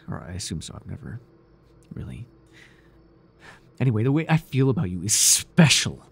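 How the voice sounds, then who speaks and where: A man voicing a devoted, nervy, lovestruck cultist in an ASMR roleplay, earnest and halting, shifting between grand and flustered delivery. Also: mid-range voice, breathy edge, full character voice. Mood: fervent, wounded. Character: devoted, awkward young male cultist, zealous, lovestruck, nervy.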